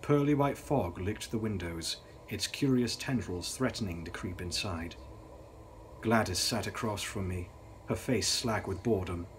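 A young man giving a measured, theatrical reading of gothic fiction as its author. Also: on the low side, a little hiss or hum. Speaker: author reading own novel. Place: dark indoor room, on camera.

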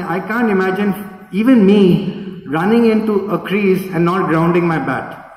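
A man's voice: fairly high pitch, a little mellow. A middle-aged man with an Indian accent gives an informal, rambling, anecdotal speech from a podium, recorded from across the room. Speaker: guest speaker, neurosurgeon. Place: indoor event hall at a podium. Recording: echoey hall, distant camera microphone.

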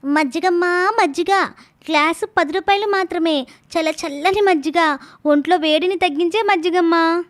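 A woman's voice: very high-pitched, normal speed. A woman voicing an eager, cheeky young village daughter with a childlike sing-song way of talking, in a story cartoon. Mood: calling out, selling. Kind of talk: animated moral story dialogue.

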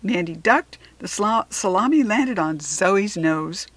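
An American woman narrating a children's story expressively, with deliberate dramatic emphasis on key words. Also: medium pitch, lively intonation, no room sound. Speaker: storybook reader.

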